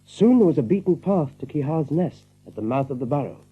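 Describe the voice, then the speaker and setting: A knowing, thoughtful storyteller rabbit in an audiobook drama. His husky voice moves in lively contours, and the recording is a little muffled. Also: a little high, brisk. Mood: narrating.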